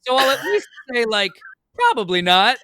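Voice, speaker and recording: A man's voice: very high-pitched, sing-song, normal speed, bright, forceful tone, dry recording, clean studio sound. A man from the northern United States, a podcast guest explaining and telling anecdotes in wry, casual chat.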